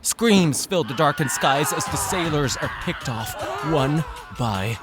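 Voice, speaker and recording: A man's voice: on the high side, expressive, takes it slowly. An actor playing a young man telling a scary sea tale in a theatrical, suspenseful manner. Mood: ominous, suspenseful. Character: male student spinning a nautical horror tale. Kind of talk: dramatic scary-story narration. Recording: dramatic music under the narration.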